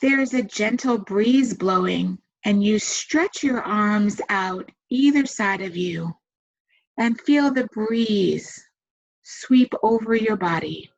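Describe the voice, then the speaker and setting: Female meditation guide, very slow delivery, soothing and gentle with long pauses, slightly muffled lo-fi recording. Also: medium pitch, animated, vocal fry. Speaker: meditation guide and massage therapist. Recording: dull, muffled lo-fi sound.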